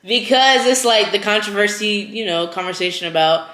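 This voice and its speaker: A woman's voice: mid-range voice, moderate pace, a bit bright. Cousin guest: a woman who talks casually and holds her ground, in an echoey room. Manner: conversational, argues her point firmly. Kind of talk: casual chat.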